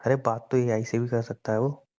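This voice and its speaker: A man's voice: mid-range voice, little pitch movement, normal speed, darkish tone. A young man joking and teasing his girlfriend in a casual phone call. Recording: dull lo-fi call recording.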